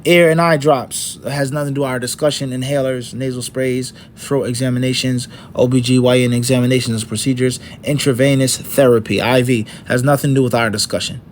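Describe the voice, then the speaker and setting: A man's voice: mid-range voice, flat delivery, a little fast, hardly any pauses, a little hiss or hum. A middle-aged man teaching an Islamic lesson, working through points one by one and asking rhetorical questions. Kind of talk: lecture.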